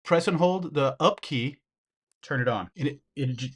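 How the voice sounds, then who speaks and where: A man's voice: fairly high pitch, moderate pace, breathy edge. A man giving a casual product review and demonstration, talking informally to viewers as he handles the gear.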